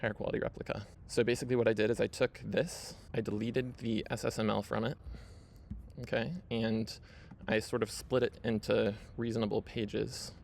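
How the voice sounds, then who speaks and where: A man's voice: lowish voice, little pitch movement, normal speed, creaky, a little mellow, slight background noise. A man hosting his own video, casually walking viewers through his screen with lots of fillers and "right?" tags. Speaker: video host. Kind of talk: casual screen-share walkthrough.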